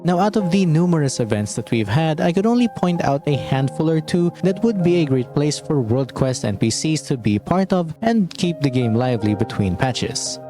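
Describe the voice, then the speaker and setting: A man's voice: fairly high pitch, animated, normal speed, hardly any pauses. A man narrating a scripted game lore video essay in a conversational, opinionated way. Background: background music.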